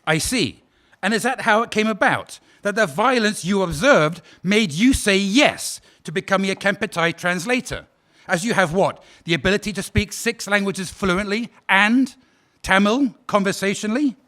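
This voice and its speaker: A man's voice: high-pitched, bright, forceful tone. A man playing a sarcastic prosecutor. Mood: cold. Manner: probing, sarcastic cross-examination, measured and formal. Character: sharp, sarcastic prosecuting officer at a war crimes trial, male. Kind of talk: audio drama acting.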